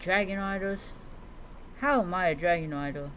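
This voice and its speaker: Woman role-playing over clear background noise. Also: lowish voice, moderate pace, a bit bright. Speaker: streamer role-playing as a dragon rider. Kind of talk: in-character game roleplay storytelling, talking to pet creatures.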